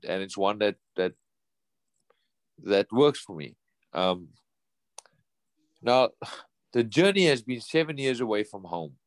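A man's voice: fairly low pitch, dry recording. A professional boxer in his thirties answering interview questions earnestly, often repeating and restarting words. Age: 30s.

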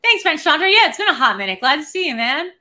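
A woman's voice: high voice, very animated, fast talker, bright, forceful tone. A young adult woman co-hosting a casual film chat, rambling along with lots of "like". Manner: rambling, heavy use of "like". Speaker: podcast and stream co-host.

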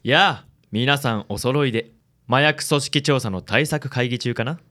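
A man's voice: medium pitch, measured pace, noisy background, close to an everyday voice. A man voicing a suave, genteel businessman in a radio drama. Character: smooth, suave handsome businessman.

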